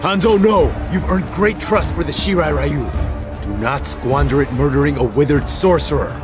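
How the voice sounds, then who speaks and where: An actor playing an earnest warrior in a video game who reasons with a friend in a formal, urgent way. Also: male voice, a little high, moderate pace, over music, close to an everyday voice. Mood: urgent, pleading. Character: earnest male warrior pleading with his friend. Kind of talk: cinematic video game acting.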